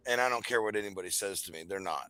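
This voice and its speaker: A man chatting casually with friends on a stream, excitable and rambling, quick to laugh. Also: on the low side, clean tone, dry recording. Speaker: streamer co-host. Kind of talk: casual group chat.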